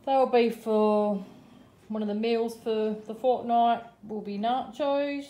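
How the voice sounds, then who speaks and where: Chatty Australian mum, mellow voice. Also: female voice, on the high side, steady tone, slow. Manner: chatty, running price commentary with frequent ums. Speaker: vlogger showing a grocery haul. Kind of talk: casual chat. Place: home kitchen or dining room. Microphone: phone camera held over a table.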